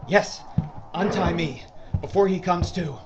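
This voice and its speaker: A man's voice: high voice, measured pace. An actor playing a frightened male gun merchant in a Western audio drama, pleading and nervous. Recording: muffled lo-fi with hiss.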